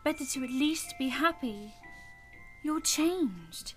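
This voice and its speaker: A woman acts a gentle young woman in a radio drama, wistful and resigned. The voice is high and earnest, with faint music behind it. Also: expressive, slow. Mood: sad, resigned. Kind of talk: radio play acting.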